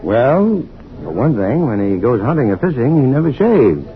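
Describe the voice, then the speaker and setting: An actor playing a mild, modest scientist in an American radio drama, speaking in an understated, thoughtful and slightly hesitant way. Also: male voice, medium pitch, very animated, normal speed. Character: mild, modest scientist, curious and faintly awkward. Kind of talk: mid-century American radio drama acting. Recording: dull old-time radio recording.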